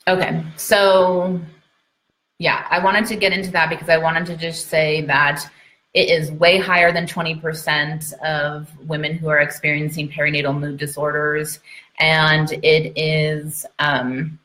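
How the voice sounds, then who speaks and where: A woman's voice: low voice, little pitch movement, measured pace, bright, forceful tone. A woman giving an informal educational talk to an online audience, emphatic, repeating key points and checking in as she goes. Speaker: speaker, midwife and trainer. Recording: roomy, echoey sound.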